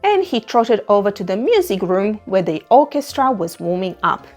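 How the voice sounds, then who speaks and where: A woman reading a picture story aloud to young children, dramatic and expressive. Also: mid-range voice, normal speed. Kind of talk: animated read-aloud narration for young children. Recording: music behind the voice. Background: music.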